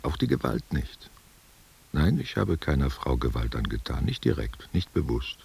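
Old war veteran, radio drama, very low husky rasp, halting, defensive, guilt-haunted. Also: male voice, sing-song, darkish tone, heavily acted. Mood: defensive, remorseful. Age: older. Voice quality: gravelly, husky. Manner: halting, defensive answers to unheard questions. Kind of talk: literary radio play monologue.

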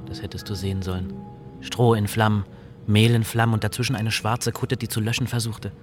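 An actor in a German radio drama playing an excitable, guilt-ridden fire-setter. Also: male voice, fairly low pitch, brisk, over music, close to an everyday voice. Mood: defiant, excited.

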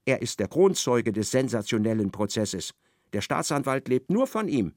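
A man narrating a literary radio play in a measured, scripted manner. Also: mid-range voice, very animated, no room sound, studio quality, close to an everyday voice. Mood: dry, measured. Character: measured male narrator of a satirical novel.

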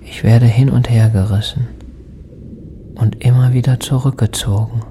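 An actor playing a haunted man in a radio drama, remembering in halting, hushed, dreamlike fragments. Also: medium pitch, steady tone, slight background noise, natural voice. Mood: adrift, troubled. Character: haunted man recalling a strange stormy night. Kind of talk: slow poetic radio drama monologue. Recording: rain and thunder behind voice. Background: rain and thunderstorm.

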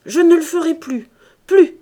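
A woman's voice: a little high, unhurried, darkish tone, natural voice. A miserly old cooper from a classic novel , played by a woman narrator. He blusters and cajoles his family in exclamatory bursts, wheedling one phrase after another. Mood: eager, blustering.

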